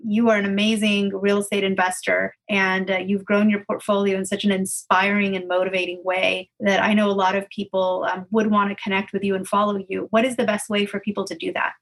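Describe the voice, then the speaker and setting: A woman's voice: medium pitch, flat delivery, a little fast, few pauses, poor audio. Conversational woman hosting an interview. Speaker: podcast host interviewing a guest.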